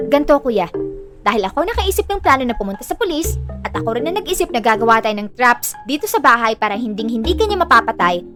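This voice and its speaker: A woman's voice: on the high side, big pitch swings, fast talker, over music. A woman with a Filipino accent playing a bossy, money-minded younger sister in an audio drama, blunt and sassy as she bickers. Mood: scheming.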